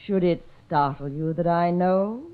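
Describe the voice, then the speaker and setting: Actress as slow, grandly mysterious fortune-teller. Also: female voice, lowish voice, close to an everyday voice. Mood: mystical, intoning. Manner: grand, portentous, slow-spoken. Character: haughty, theatrical phony female fortune-teller and mystic. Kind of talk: old-time radio drama acting. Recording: old radio recording, narrow tinny band.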